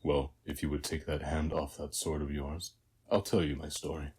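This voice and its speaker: An actor playing a calm, hospitable warrior who guards a seized temple, speaking in an unhurried, measured way in an audio roleplay. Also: male voice, rumbling low, slightly rough, exaggerated voice. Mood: solemn, frank. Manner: unhurried, measured, with long pauses between short phrases. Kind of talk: scripted audio roleplay, speaking softly to the listener.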